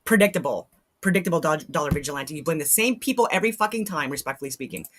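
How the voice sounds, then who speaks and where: A woman's voice: low-pitched, expressive, very fast, slight background noise. Blunt, skeptical woman reacting casually. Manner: blunt, skeptical, repeats phrases for emphasis. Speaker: live stream host reacting to a video. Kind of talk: casual live reaction commentary.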